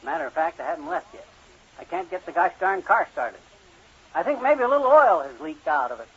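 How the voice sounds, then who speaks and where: A folksy, argumentative husband from a vintage radio comedy. His high voice swings widely in pitch as he blusters and stubbornly defends his penny-pinching ways. The recording is dull and old. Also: very animated, brisk, fairly bright tone, breathy edge, exaggerated voice. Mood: breezy, excuse-making. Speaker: comic lead in a radio sitcom.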